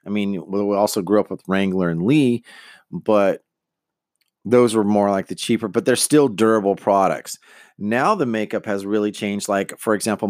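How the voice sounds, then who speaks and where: A man's voice: deep voice, dry recording. Man talking in a dry, rambling way. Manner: rambling, many fillers and restarts; earnest, motivational. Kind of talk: casual monologue on personal branding.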